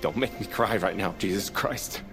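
A man's voice: low voice, moderate pace, in a large hall, music in the background. An actor playing a young, dramatic, sarcastic man who swears freely in an audio comedy drama. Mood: sappy, grudging. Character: excitable, dramatic, foul-mouthed man, sulky would-be hero.

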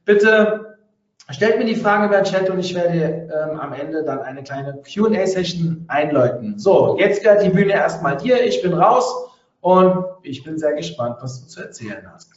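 A man's voice: on the high side, lively intonation, heavy reverb, distant mic, lo-fi sound. Man with a cold hosting a webinar. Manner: chatty, halting, many fillers. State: has a cold, coughing. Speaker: podcast and webinar host.

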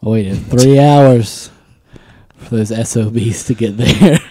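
A man's voice: mid-range voice, slow, mellow, no room sound, clean studio sound. A man in his twenties co-hosting a podcast in casual chat, laughing often and acting out quotes. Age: 20s. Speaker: podcast co-host.

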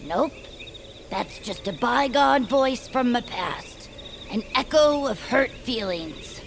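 High, creaky young winged creature. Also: female voice, lively intonation, takes it slowly, background noise, heavily acted. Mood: sulky. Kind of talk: audio fiction acting.

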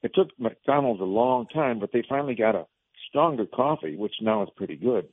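A man's voice: mid-range voice, expressive, a little fast. A man calling in to a radio show by phone, chatting casually in a folksy, easygoing way. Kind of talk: casual chat. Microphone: telephone. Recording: dry, tinny phone line.

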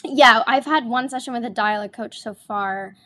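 A woman's voice: fairly high pitch, moderate pace, pushed and bright. Earnest young woman stage actor. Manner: earnest and enthusiastic, with frequent restarts. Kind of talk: casual interview chat.

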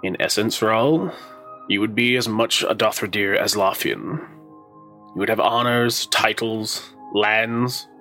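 A man playing a gracious, fatherly elf king in a tabletop game, formal and measured in his speech. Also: on the low side, bright, forceful tone. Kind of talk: tabletop roleplay acting.

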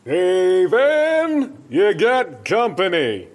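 An actor playing a young male psychiatric patient, blunt and excitable, in an audio drama. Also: high voice, extremely slow, heavily acted. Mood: excited. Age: young adult. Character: fit young psychiatric patient, suspicious then eagerly helpful.

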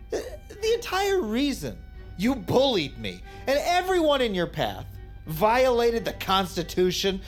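A self-important, resentful doctor in an audio drama. His phrasing is halting and dismissive with lively pitch movement, and faint music sits behind him. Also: full character voice. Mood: bitter, accusing.

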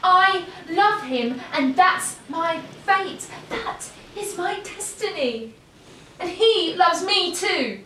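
An actress as an anguished young sister, high-voiced, roomy sound. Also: female voice, expressive, bright, forceful tone, exaggerated voice. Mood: lovestruck confession. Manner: halting, emotional, confessional. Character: anguished, yearning young sister pouring out despair and forbidden love. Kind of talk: audio play acting. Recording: roomy, distant mic, light noise.

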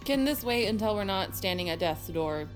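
A woman's voice: mid-range voice, animated, normal speed, faint music behind, lightly acted. A young woman playing a brash, wisecracking girl with a flippant, teasing manner in an audio drama.

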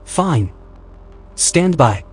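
An actor playing a literal-minded, slightly peevish station technician in a science-fiction audio drama. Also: male voice, on the high side, very animated, drawn-out words, husky, full character voice. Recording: music bed behind the voice.

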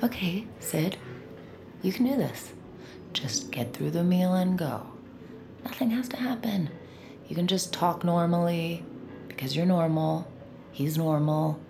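Sid, a wry, anxious young mind reader. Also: female voice, lowish voice, measured pace, gravelly, long pauses, background noise. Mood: nervous. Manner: dry, self-deprecating, muttering asides. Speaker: lead character and narrator. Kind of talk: audio drama acting.

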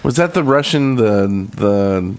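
A man's voice: lowish voice, animated, slow, a little mellow. A man co-hosting a gaming podcast, chatting casually with a wry, joking manner. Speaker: podcast co-host.

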